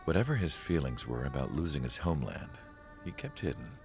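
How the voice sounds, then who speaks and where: A man playing a solemn scientist who narrates a video game story in a measured, reflective way. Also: a bass voice, sing-song, creaky, a little mellow, faint music behind, exaggerated voice. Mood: wistful. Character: solemn older male research scientist recalling an ace pilot. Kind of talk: scripted dramatic cutscene narration.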